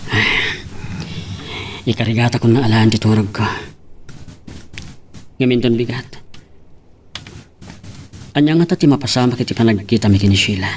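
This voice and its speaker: A man's voice: mid-range voice, normal speed, long pauses, a little hiss or hum, lightly acted. A man with an Ilocano accent playing a lovestruck young architect, earnest and tender, in a romantic audio drama. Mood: musing, smitten. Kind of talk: Ilocano radio-style romantic drama acting.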